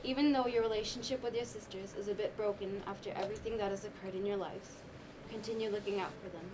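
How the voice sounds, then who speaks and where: Casting: woman as tender, dying mother, mid-pitched voice, amateur stage monologue with clear background noise. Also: fairly even intonation, fast, lightly acted. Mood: tender, sorrowful. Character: loving, dying mother writing farewell letter. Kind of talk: amateur stage monologue, letter read aloud. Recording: noisy live stage recording.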